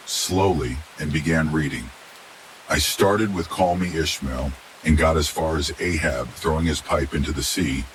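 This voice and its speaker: A man narrates a first-person horror story in a low-pitched voice. It is a scripted reading, delivered as the storyteller. A clear hiss sits behind his voice. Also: poor audio.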